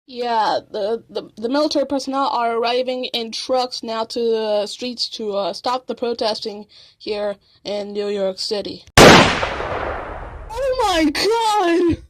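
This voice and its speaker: A woman's voice: on the high side, expressive, slow pace, background noise. A woman playing an anxious young male field correspondent, hesitant and informal in a mock live report. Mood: uneasy, then panicked.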